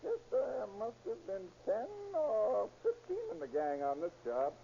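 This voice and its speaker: Swooping high-voiced rambling old-timer. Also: male voice, huge pitch swings, a little slow, creaky, mellow, exaggerated voice. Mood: flustered, rambling. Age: older. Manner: hesitant, rambling, rustic old-fashioned talk. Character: folksy forgetful old-timer workman, eager, rambling, proud of memory. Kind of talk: 1930s radio acting. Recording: hissy muffled 1930s radio recording.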